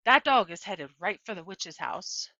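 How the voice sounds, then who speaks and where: Woman acting a bright-toned, jeering young boy. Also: mid-range voice, expressive, moderate pace, no room sound, dull audio. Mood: urgent taunting. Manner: jeering, goading. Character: taunting bald young boys, about nine and ten.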